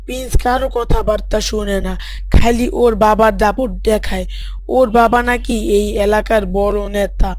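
A woman's voice: mid-range voice, fairly even intonation, moderate pace, darkish tone, no echo, faint music behind, natural voice. A woman voicing a chatty schoolboy classmate in a story, gossipy and offhand.

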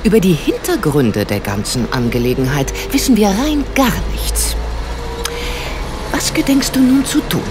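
A woman's voice: mid-range voice, wildly swooping pitch, measured pace, music in the background. An actress playing a loyal, food-loving, grumbling lady companion in a German radio play, wry and dryly complaining. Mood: wry, agreeable. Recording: clear vehicle and ambience noise.

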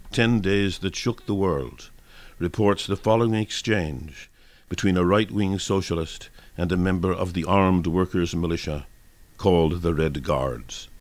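A man's voice: on the low side, no echo. A man narrating scripted history as a dramatic reading for a radio broadcast. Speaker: reader, narrator.

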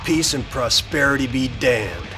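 An actor playing a wary, blunt but loyal biker in a video game cutscene. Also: male voice, mid-range voice, expressive, normal speed, over music, lightly acted. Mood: grudging.

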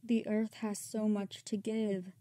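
Woman as a mellow, grave robot resistance commander. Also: medium pitch, flat delivery, takes it slowly, no echo, close to an everyday voice. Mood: cold, determined. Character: stern, pleading female robot resistance commander. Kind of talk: dramatic comic-book dub.

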